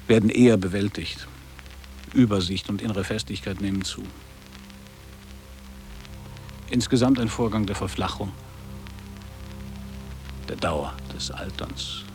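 A man's voice: fairly low pitch, darkish tone, rough recording. An actor playing a nostalgic man in his fifties in a radio play, declaiming literary reminiscences in clipped phrases. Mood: musing. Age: 50s. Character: nostalgic man around fifty revisiting his old boarding school.

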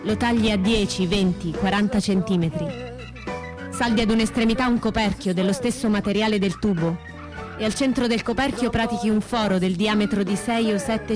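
A woman's voice: mid-range voice, close to an everyday voice. Resolute young woman militant over music, acted by a woman. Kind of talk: theatrical monologue for radio theatre. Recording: music under the voice.

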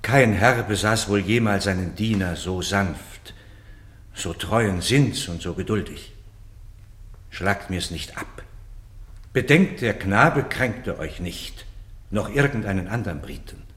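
Stoic Roman general, low slow voice, noble, measured, classical radio drama, roomy echo. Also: male voice, lively intonation, fairly bright tone, heavily acted. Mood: earnest pleading. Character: dignified defeated Roman general, stoic, loyal, pleading. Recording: echoey, roomy studio sound.

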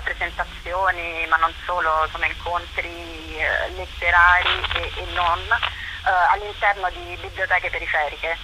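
A woman giving a casual interview, often halting as she searches for words. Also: fairly low pitch, sharp tone, slight background noise, rough recording. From Rome, Italy. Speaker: interview guest, writer and performer.

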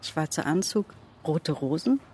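A woman's voice: sits low, animated, measured pace, mellow, slight background noise. An actress playing a guarded but caring young woman, cool and direct, in a naturalistic German radio drama.

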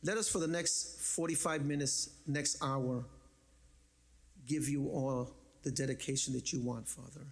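A pastor from the Bronx, preaching a sermon in a lively, joking, conversational way. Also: male voice, medium pitch, a little slow. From the Bronx, New York.

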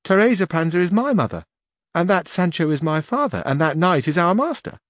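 An excited peasant girl of about fourteen, put on by a male reader. Her voice sits well above the reader's own and runs eager and breathless. The sound is dry and close. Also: brisk, dry recording. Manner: eager and breathless, high-pitched.

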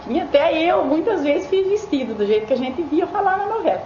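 A woman's voice: extremely high pitch, animated, a little fast, dark tone. An older Brazilian woman reminiscing casually and colloquially as a radio listener. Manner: colloquial, acts out remembered remarks. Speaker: radio listener giving testimony. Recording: echoey, muffled, faint background noise.

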